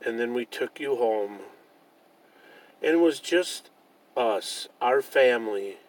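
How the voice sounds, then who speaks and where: Man as a tender mother bear, slow and gentle. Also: mid-range voice, animated, rough recording. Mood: reassuring. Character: loving, tender mother bear, reassuring her small cub.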